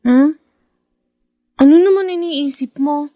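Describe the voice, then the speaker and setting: Dutiful teen daughter, very high voice, caring, radio drama, dry sound. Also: female voice, slow pace, warm tone, no echo. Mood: concerned, questioning. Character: caring, dutiful nineteen-year-old elder daughter.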